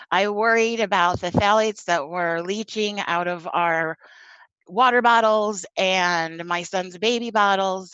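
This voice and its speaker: A woman's voice: medium pitch, fairly bright tone, clear, resonant tone, no echo, lo-fi sound. A woman around forty giving a prepared personal talk in a candid, wry, emphatic conversational way. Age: 30s to 40s. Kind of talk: prepared personal testimony read aloud, conversational.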